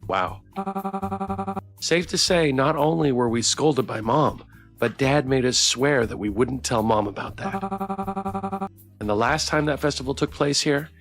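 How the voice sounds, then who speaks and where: A man's voice: medium pitch, vocal fry, fairly bright tone. A man streaming a game, reading the story aloud expressively and chatting with viewers as he goes. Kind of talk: playthrough narration with chat banter.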